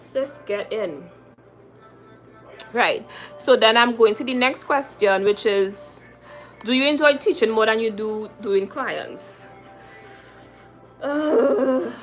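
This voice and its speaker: A young adult woman with a Trinidadian accent, chatting casually on a livestream, reading viewer comments and repeating her phrases for emphasis. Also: a little high. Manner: chatty and lively, repeating phrases, calling viewers girl and sis. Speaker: makeup artist hosting a livestream.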